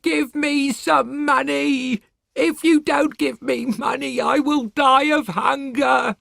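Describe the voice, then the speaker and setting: A man voicing a desperate, starving old beggar man in a fairy tale, creaky and pleading. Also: very high-pitched, fairly even intonation, slow pace, fairly bright tone, no echo, clean studio sound, pitched above the performer's own voice, exaggerated voice. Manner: pleading, insistent.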